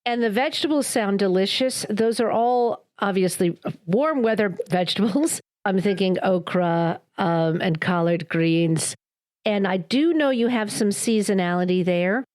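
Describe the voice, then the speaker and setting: A woman's voice: medium pitch, a little slow, a little mellow. A woman hosting a podcast, reading polished narration and asking interview questions. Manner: polished, read-aloud delivery. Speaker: podcast host.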